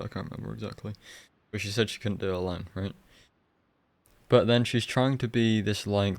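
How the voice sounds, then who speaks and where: A man streaming a game with casual live commentary, rambling, opinionated and quick to swear. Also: fairly low pitch, darkish tone, clean tone, no room sound.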